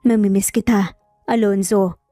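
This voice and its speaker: Woman acting an anxious, gentle young wife. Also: mid-range voice, steady tone, a little slow, no room sound, clean studio sound, soft background music, lightly acted. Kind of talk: dramatized audio storybook.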